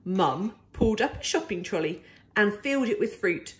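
A woman reading a children's picture book aloud in an animated, expressive, deliberate way. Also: medium pitch.

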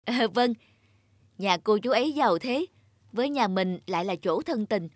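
A woman's voice: mid-range voice, lively intonation, normal speed, dry recording, clean studio sound, close to an everyday voice. A woman voicing a scheming, persuasive young adult son in a cartoon. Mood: persuasive, eager. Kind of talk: cartoon dubbing.

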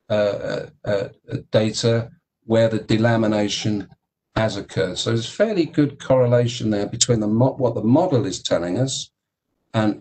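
A man giving a technical keynote talk about engineering, speaking in a halting way with many fillers and repeated words. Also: fairly low pitch, darkish tone. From United Kingdom. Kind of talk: technical conference presentation.

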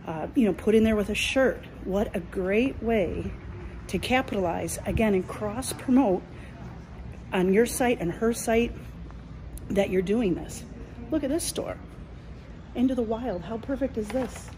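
A woman's voice: mid-range voice, unhurried, pauses often, darkish tone, slight background noise, rough recording. A middle-aged woman chatting enthusiastically as a business coach, filming herself on a phone while walking through an indoor mall. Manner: chatty, enthusiastic, points things out as she walks. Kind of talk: walking selfie vlog. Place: large indoor shopping mall. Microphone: phone held at arm's length.

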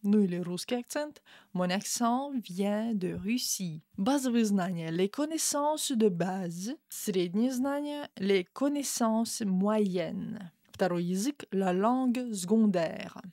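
A young woman teaching a language lesson. She says the example phrases slowly and carefully and explains them more quickly. She speaks into a studio mic in a small room. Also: mid-range voice, expressive, mellow, no echo. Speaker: French teacher. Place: small room with a window, indoors. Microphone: studio mic in front of her.

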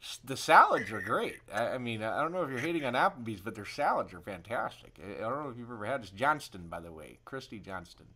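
A man in his thirties with very lively intonation, chatting into his phone on a prank call. He rambles and hesitates, playing up the awkwardness as he goes. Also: mid-range voice, quick pace, hardly any pauses, breathy edge. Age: 30s. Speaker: prank caller and livestream host. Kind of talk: improvised prank call, casual chat.

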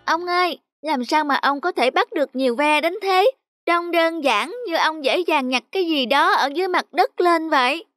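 Curious young cartoon schoolboy, female performer, high pitch, eager, music bed. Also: normal speed, fairly bright tone, dry recording, studio quality. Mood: puzzled, curious. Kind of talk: scripted cartoon dubbing. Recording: children's music under the voice.

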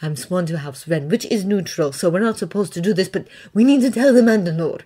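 A woman's voice: fairly low pitch, lively intonation, a little fast, mellow, husky. A woman voicing a shrewd Mandalorian woman mercenary with a dry, knowing manner. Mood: matter-of-fact. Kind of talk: fan fiction audiobook reading.

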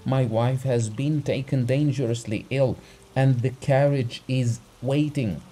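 A man reading aloud and voicing a frantic middle-aged gentleman who pleads breathlessly for help. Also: mid-range voice, steady tone, measured pace, breathy edge, voice pushed up, rough recording. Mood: relieved, pleading.

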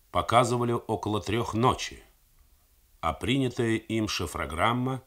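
A man, an actor, reading a novel aloud in measured, dramatic radio narration. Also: lowish voice, a bit bright.

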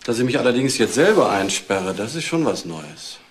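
Ein Schauspieler als lakonischer junger Mann, leichtes Rauschen. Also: male voice, medium pitch, very animated, normal speed, a little hiss or hum, natural voice. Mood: wry. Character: wry young man, threatened nephew.

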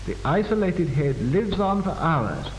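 A man reading formal, measured 1940s educational film narration with precise enunciation, on an old film soundtrack. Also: fairly high pitch, sing-song, roomy sound, long reverb tail, a little hiss or hum, poor audio.

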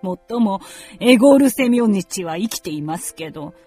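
A woman's voice: medium pitch, animated, brisk, warm tone, slightly rough, faint music behind. A woman playing a genteel, fretful middle-aged mother in a radio drama, with very polite old-fashioned feminine speech. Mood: plaintive. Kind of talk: Japanese radio drama acting.

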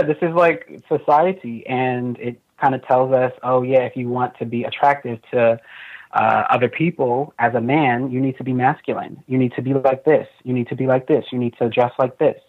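A young man with a fairly high voice, speaking thoughtfully as an interview guest with many fillers. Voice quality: relatively high voice. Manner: thoughtful, frequent fillers, laughs easily. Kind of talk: casual conversation. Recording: tinny phone-like video call audio.

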